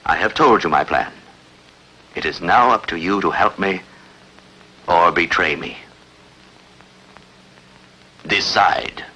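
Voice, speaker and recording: Man acting an ambitious, sharp-voiced rebel usurper. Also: low voice, unhurried, breathy edge, exaggerated voice, low-quality recording. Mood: coldly scheming. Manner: declamatory, imperious. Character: ambitious scheming male rebel usurper, commanding and menacing. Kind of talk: dubbed historical epic film acting.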